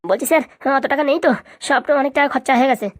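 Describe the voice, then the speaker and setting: Distraught cartoon village man acted by a woman, high voice, quick, pleading. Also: lightly acted. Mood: anxious pleading. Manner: whining, deferential, pleading. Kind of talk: comedy cartoon dialogue.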